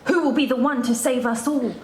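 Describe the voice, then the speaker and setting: A woman's voice: high voice, fairly even intonation, fairly quick, lightly acted. A woman playing a dramatic TV news reporter in a stage pantomime, projecting formally in a declamatory way. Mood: grave, dramatic. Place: indoor theatre stage. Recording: echoey theatre stage, ambient noise.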